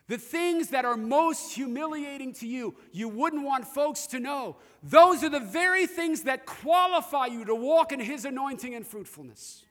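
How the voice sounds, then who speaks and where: A man's voice: very high voice, sharp tone. A man preaching a sermon in a conversational storytelling way, addressing his listeners directly. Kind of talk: sermon with storytelling and scripture reading. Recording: long hall reverb.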